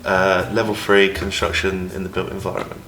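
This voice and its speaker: Deep, flat-voiced English man. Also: fairly quick. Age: 20s. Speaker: apprentice. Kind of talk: casual interview answers. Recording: roomy with background music.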